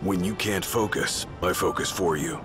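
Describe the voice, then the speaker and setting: An actor playing a stern, loyal royal bodyguard in a video game, talking bluntly and commandingly. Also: male voice, sits low, steady tone, moderate pace, lightly acted. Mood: earnest, firm. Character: gruff loyal royal bodyguard, stern big-brother warrior. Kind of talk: dramatic video game acting. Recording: loud game music behind the voice.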